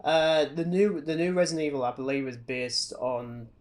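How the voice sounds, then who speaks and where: A man's voice: fairly high pitch, flat delivery, moderate pace. A man co-hosting a podcast, chatting casually and giving wry, opinionated takes on films and games. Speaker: podcast co-host.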